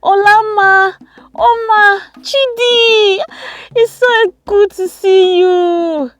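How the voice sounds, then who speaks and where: A woman voicing an affectionate, excitable teenage village girl in a storybook tale. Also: mid-range voice, normal speed, no echo, natural voice. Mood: overjoyed.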